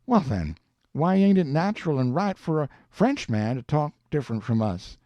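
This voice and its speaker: A man's voice: on the high side, big pitch swings, moderate pace, dark tone, dry recording, highs cut off, voice pushed up. A man voicing a young Southern country boy in an audiobook, patiently explaining things in a folksy, matter-of-fact way. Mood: coaxing, reasoning. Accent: Southern American. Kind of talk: audiobook character dialogue.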